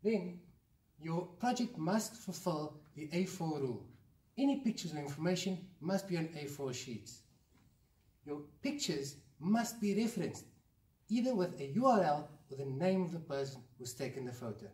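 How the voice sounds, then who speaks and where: Echoey young man, higher voice. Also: animated, moderate pace, a little mellow. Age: young adult. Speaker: science fair committee presenter. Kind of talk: scripted instructional explanation. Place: classroom or lab with display boards, indoors. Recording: echoey room, distant camera microphone.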